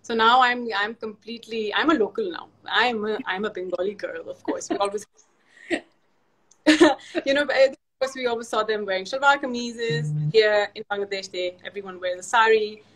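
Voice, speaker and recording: A woman's voice: mid-range voice, moderate pace, fairly bright tone. A woman, chatting as an interview guest, laughing often and restarting her sentences. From Pakistan. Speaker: interview guest, fashion designer. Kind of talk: casual video call interview.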